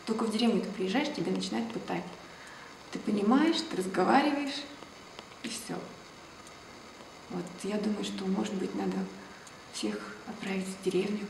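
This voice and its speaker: A woman from Russia in an interview, answering reflectively and unhurriedly, often trailing off into a soft, breathy tone. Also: mid-range voice, normal speed, pauses often. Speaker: ballet dancer, interviewee. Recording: roomy, distant mic, noisy background.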